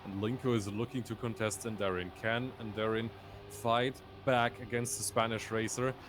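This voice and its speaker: A man's voice: lowish voice, steady tone, normal speed, background noise. A man commentating live on a racing game as a play-by-play esports caster.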